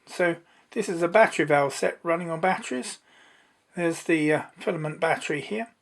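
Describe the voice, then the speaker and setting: The voice sits at a middle pitch and belongs to someone presenting a hands-on electronics demonstration. The delivery is informal and unhurried. Each step is explained plainly as it is shown. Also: vocal fry.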